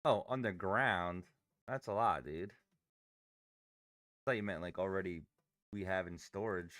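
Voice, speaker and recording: A man whose voice is going hoarse, chatting casually with viewers on a live trading stream. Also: low voice, unhurried, a bit bright, dry recording, clean studio sound. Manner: chatty, banters with chat while reading charts. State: hoarse, losing his voice.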